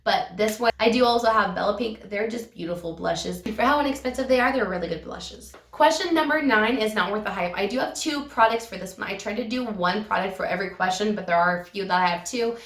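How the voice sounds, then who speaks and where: A woman vlogger reviewing her makeup, hoarse and a little raspy from a cold, talking in an enthusiastic, gushing way and slowing down on the words she loves. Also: medium pitch, fairly bright tone, recorded from a distance. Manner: enthusiastic, gushing, slows down to stress favorite words. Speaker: beauty vlogger. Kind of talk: casual product review. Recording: echoey room, voice a bit off-mic.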